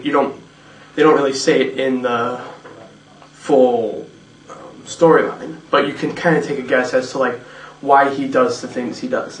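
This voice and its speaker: A man with a medium-pitched voice, casually explaining a character. He is picked up from a little distance in a roomy space.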